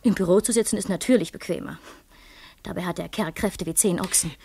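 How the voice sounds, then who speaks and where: An actress playing a bitter young wife with a sharp tongue and hidden passion in a German radio play. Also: female voice, lowish voice, brisk, vocal fry, slightly rough. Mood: resentful.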